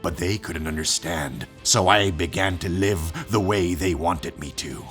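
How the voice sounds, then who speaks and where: A man's voice: low-pitched, unhurried, a bit bright, soft background music. A man playing a fanatical old villain, grandiose and righteous, preaching pompously in a comic dub. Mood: reverent, fervent. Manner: pompous, preaching, theatrical delivery. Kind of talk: dramatic comic dub acting.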